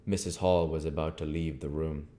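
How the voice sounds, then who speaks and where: A man with a low-pitched voice narrates a story from a book. He reads aloud as an amateur audiobook reader, in the register of storytelling. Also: steady tone, moderate pace, mellow.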